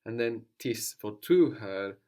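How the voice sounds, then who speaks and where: Low-voiced man teaching patiently. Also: lively intonation, slow pace, warm tone. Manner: patient, prompting questions and repeating answers. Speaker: language teacher. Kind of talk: guided language lesson.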